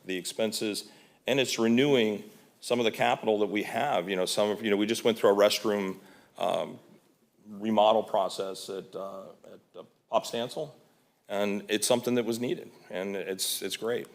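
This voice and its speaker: Low-voiced man presenting at a meeting. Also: fairly even intonation, moderate pace. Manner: conversational, many fillers and restarts. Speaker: volunteer board member presenting. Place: county commission meeting room.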